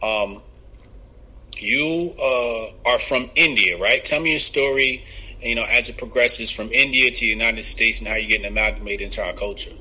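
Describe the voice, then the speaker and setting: Laid-back man hosting a podcast, bright voice. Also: medium pitch, moderate pace, slightly rough, rough recording. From New Jersey. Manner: laid-back, filler-heavy slang. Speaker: podcast host. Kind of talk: casual chat.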